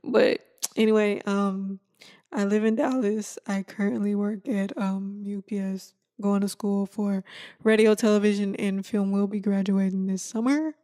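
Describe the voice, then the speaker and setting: A woman's voice: mid-range voice, steady tone, warm tone. Laid-back woman in her twenties. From Texas. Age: 20s. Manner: laid-back, laughs often, drawn-out fillers. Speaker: podcast co-host. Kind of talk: casual chat.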